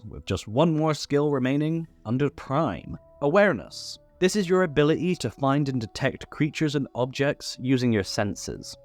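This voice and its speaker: A man hosting a scripted tabletop game rules explainer, listing things step by step. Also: mid-range voice. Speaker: tutorial host. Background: background music.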